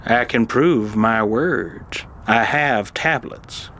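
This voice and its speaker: A man playing an irascible, desperate old uncle in an audio drama, urgent and halting as he insists on his truth. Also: medium pitch, a bit bright, a little hiss or hum. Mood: insistent. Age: older. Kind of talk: dramatized audio fiction acting.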